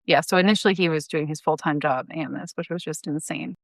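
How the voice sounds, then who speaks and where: A woman's voice: low-pitched, fairly quick, no echo, clean studio sound. A woman in her thirties chatting as a guest in a casual interview, explaining things in a chatty way. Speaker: guest, audio drama creator.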